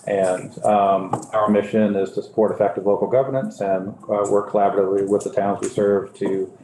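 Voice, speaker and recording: A man directing a regional commission, giving an informal briefing to a committee and talking with plenty of fillers and restarts. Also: on the low side. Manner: relaxed, halting, many fillers and restarts. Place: legislative committee hearing. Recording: roomy hearing-room audio with faint hiss.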